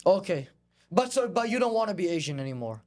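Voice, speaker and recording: Man, debate host, very fast, high voice, needling questions, halting phrasing. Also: sing-song, darkish tone. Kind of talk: confrontational casual debate interview.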